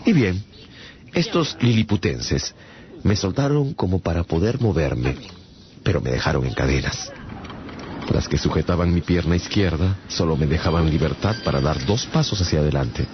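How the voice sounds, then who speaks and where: A man's voice: sits low, animated, moderate pace, slight background noise. An actor playing a bemused shipwrecked traveller, telling his adventures in a theatrical, wry radio-drama narration. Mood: wry, reflective. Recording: dull lo-fi sound with music behind. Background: music.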